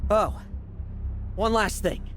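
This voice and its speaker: A man's voice: sits high, takes it slowly. An actor voicing a cocky young male knight who is playful, theatrical and hungry for battle, in dramatic game style. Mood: cool, defiant. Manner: taunting, theatrical. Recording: loud music behind the voice.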